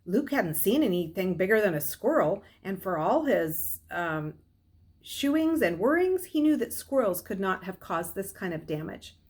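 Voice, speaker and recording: Expressive middle-aged woman reading a story aloud. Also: medium pitch, a little slow. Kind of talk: expressive read-aloud narration. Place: small furnished room at home, indoors.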